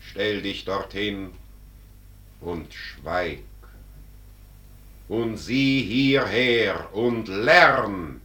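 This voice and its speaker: Death personified, stern, solemn, forceful sharp voice, drawn-out pacing, verse radio drama. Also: male voice, mid-range voice. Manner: solemn, emphatic, measured.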